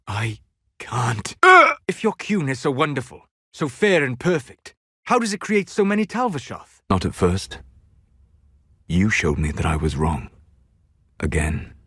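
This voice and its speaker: A man playing a calm, scholarly, melancholy elven mage in a video game, speaking in deliberate, formal phrases. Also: lowish voice, no echo, studio quality. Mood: urgent, forceful. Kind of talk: scripted video game character dialogue.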